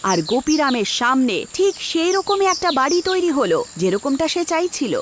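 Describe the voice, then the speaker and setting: High, animated woman storyteller over music. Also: fairly quick, heavy background noise. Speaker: narrator. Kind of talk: scripted story narration for a cartoon. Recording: music behind the voice.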